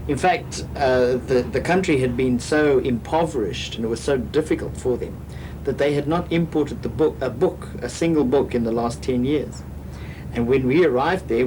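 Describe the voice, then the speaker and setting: Man, ship's captain, mid-range voice, steady earnest explaining, loud rumble under the recording. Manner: earnest, explanatory, with frequent uh fillers. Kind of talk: documentary interview. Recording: noisy background rumble.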